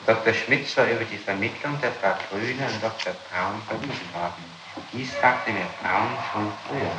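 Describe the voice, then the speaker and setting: A man working as a public prosecutor, conducting a formal interrogation in halting, official phrasing and reading out statements. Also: on the low side, loud music behind the voice. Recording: noisy, echoey archival interrogation tape.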